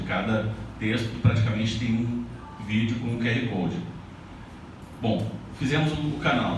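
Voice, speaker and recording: A man's voice: medium pitch, noisy background. A man from southern Brazil giving a loose, conversational talk to an audience at a book launch, often restarting his sentences. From Paraná, Brazil. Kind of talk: speech to an audience. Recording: echoey hall, mic far off, audible ambience.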